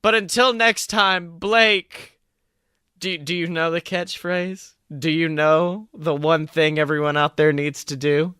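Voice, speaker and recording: A man with a high, bright voice hosts a casual podcast. He sounds emphatic and playful, a bit of a showman, in a dry, close recording. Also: steady tone, slow, clean tone, no echo. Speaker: podcast host.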